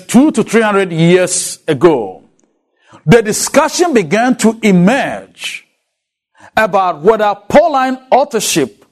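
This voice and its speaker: A man with a Ghanaian accent delivers a deliberate lecture, pausing to put questions to his listeners. His voice sits fairly high for a man and swings widely in pitch. He speaks slowly, weighing each phrase. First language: a Ghanaian language. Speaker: Bible lecturer. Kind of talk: teaching lecture.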